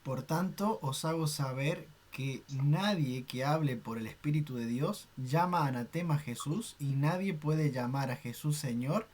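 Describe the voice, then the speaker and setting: A man's voice: fairly high pitch, measured pace, few pauses. A man on a church video call, welcoming the guest and answering the prayers with frequent amens. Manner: warm and affirming, frequent amens. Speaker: church member welcoming the speaker.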